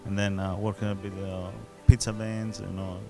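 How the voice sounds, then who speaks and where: A man speaking English with an Italian accent, a chef who talks haltingly and reaches for his words. His voice sits low, with music playing clearly in the room behind him. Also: flat delivery. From southern Italy. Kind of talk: casual interview.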